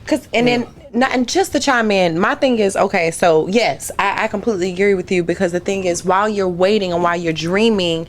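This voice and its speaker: A woman's voice: medium pitch, brisk, few pauses, a little hiss or hum. A woman, a guest on a podcast, talking in long emphatic runs. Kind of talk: casual podcast chat.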